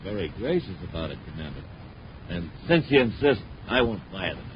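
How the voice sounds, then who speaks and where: Low-voiced scheming radio villain. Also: male voice, sing-song, fairly quick, slight background noise. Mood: ingratiating. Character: scheming, smoothly courteous male company boss villain. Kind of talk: 1950s radio adventure serial acting. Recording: muffled 1950s radio recording.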